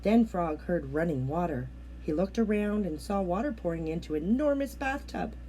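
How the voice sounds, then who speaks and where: A woman reading a children's picture book aloud as an expressive storyteller. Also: on the low side, normal speed, a little hiss or hum, low-quality recording. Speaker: storybook reader.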